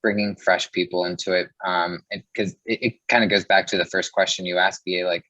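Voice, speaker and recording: A man with a fairly low voice talks in a dry, slightly muffled recording. He answers interview questions casually, reflecting on his business in informal phrasing. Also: fairly even intonation, fairly quick, bright, forceful tone, no echo. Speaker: mortgage company co-founder, interview guest.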